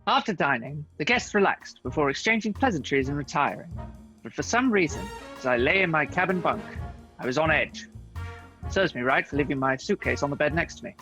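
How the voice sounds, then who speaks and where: Man acting a bright-voiced, awkward detective. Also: a little high, expressive, a little fast, soft background music, poor audio. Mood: wry. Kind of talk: comedy radio play narration and dialogue.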